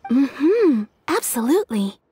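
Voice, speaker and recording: A woman's voice: high voice, very animated, takes it slowly, heavy background noise, heavily acted. A woman playing a polite, businesslike kimono shopkeeper in a video game, explaining things professionally. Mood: pleased, obliging.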